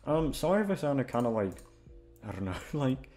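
A man's voice: mid-range voice, big pitch swings, normal speed, warm tone, soft background music. A man who makes audio roleplays, chatting casually to his listeners in a rambling, self-deprecating, sweary way.

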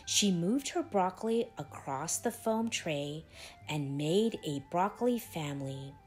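A woman reading a children's story aloud in a deliberate, expressive way with dramatic pauses. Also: on the low side. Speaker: storybook reader, channel host. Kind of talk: children's read-aloud, scripted narration. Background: background music.

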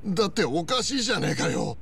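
An actor playing an earnest former yakuza underling in a video game, polite to seniors with a rough, wary streak. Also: male voice, a little high, big pitch swings, fast, a bit bright, full character voice. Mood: suspicious, confrontational. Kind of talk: Japanese video game voice acting. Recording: music playing behind the voice. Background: background music.

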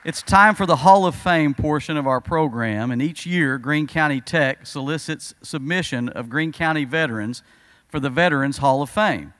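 A middle-aged man reads formal announcements at a podium, his voice a little higher than most men's. The sound is close and dry, with no room around it. He has the measured delivery of an emcee working from a script. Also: a bit bright, no echo. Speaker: master of ceremonies. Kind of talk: reading a program script. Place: school auditorium stage at a podium. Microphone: podium microphone.

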